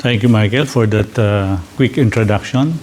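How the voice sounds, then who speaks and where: A man with a Filipino English accent, a priest leading a slow, gentle guided reflection for a gathering. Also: lowish voice, slight background noise. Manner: slow, deliberate, gently inviting.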